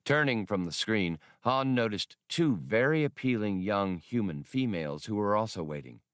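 A man narrates scripted fiction as an audiobook reader. His voice sits on the low side, and the recording is dry with no audible room. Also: lively intonation, normal speed, fairly bright tone, dry recording.

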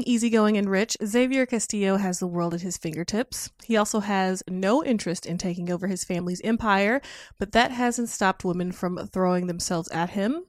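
A woman's voice: medium pitch, dry recording, clean studio sound. A woman reading podcast sponsor spots from a script, loosened with casual, sassy, conversational asides. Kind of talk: scripted ad read with casual ad-libs.

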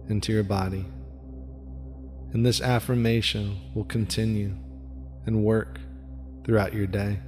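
A man leading a guided meditation in a soft, soothing voice with a slow, repetitive cadence. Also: lowish voice. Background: faint music with gong.

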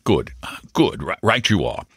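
Man as hearty uncle, amused, indulgent, teasing, highly animated intonation, audiobook dialogue. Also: a little slow, husky, dry recording, studio quality, full character voice. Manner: jovial, teasing, questioning.

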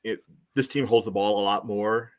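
A man co-hosting a sports podcast, giving casual analysis in measured, halting phrases. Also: lowish voice, fairly even intonation.

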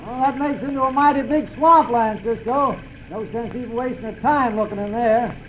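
An actor playing a gruff, blunt frontier sheriff with an American Western accent and folksy exclamations, in 1940s radio acting. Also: male voice, very high-pitched, moderate pace. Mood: brisk. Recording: muffled old radio recording with faint hiss.

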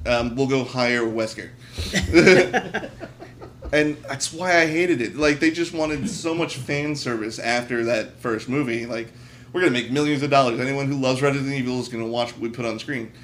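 A man's voice: medium pitch, moderate pace, a bit bright, a little hiss or hum. A man chatting casually as a podcast co-host, giving blunt, jokey, profane opinions in an emphatic way.

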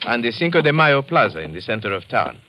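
An actor playing a courteous, deferential Panamanian lieutenant and guide in an old radio drama, with formal, careful phrasing. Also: male voice, medium pitch, sing-song, fast talker, bright, forceful tone. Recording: noisy vintage radio recording.

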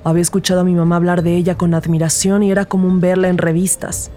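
A woman's voice: on the low side, little pitch movement, fast, warm tone, faint music behind, close to an everyday voice. A woman playing an observant, empathetic rookie detective who narrates an audio drama in the first person. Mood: measured, reflective.

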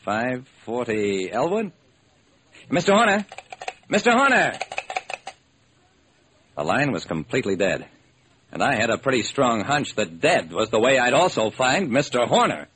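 An actor playing a brisk, determined hard-boiled male private detective, narrating and acting in a classic radio crime drama. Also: mid-range voice, sing-song, fairly bright tone, slight background noise. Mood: urgent, insistent, then wry, brisk. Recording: old, slightly rough radio recording.

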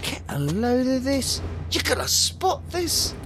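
A man's voice: very high voice, sing-song, slow, noisy background, exaggerated voice. An actor in an audio drama playing a broke, foul-mouthed working-class British man who gripes in slang. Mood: awed, urgent. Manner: slangy, griping.

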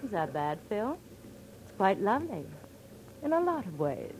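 Woman playing young female companion, coy, friendly, medium pitch, faintly noisy forties radio. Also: big pitch swings, normal speed, darkish tone, lightly acted. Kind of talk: 1940s radio acting. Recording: noisy vintage 1940s radio recording.

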